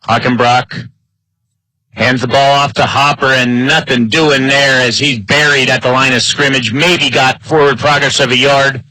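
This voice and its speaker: A man's voice: medium pitch, steady tone, a little slow, sharp tone, no echo, poor audio. A man with an American accent doing live play-by-play commentary of an American football game. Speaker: play-by-play sports announcer.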